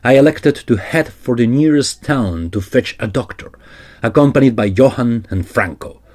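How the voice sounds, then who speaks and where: An actor playing an earnest opera troupe gentleman, formal and old-fashioned, in a dramatised narration. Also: mid-range voice, lively intonation, moderate pace, close to an everyday voice. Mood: measured narrating.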